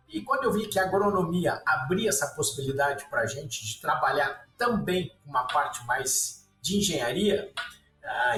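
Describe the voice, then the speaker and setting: A man in his sixties with a Gaúcho accent from southern Brazil, telling his story as a guest in a relaxed interview. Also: high-pitched. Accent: Gaúcho Portuguese. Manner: relaxed, detailed storytelling with lists and restarts. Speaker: guest researcher. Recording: echoey room, somewhat far from mic, faint music.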